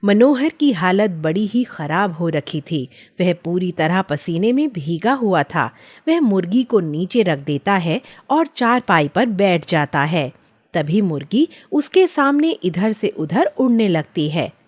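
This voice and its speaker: Woman narrating a kids cartoon story, slow pace, really animated pitch. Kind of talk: scripted children's story narration.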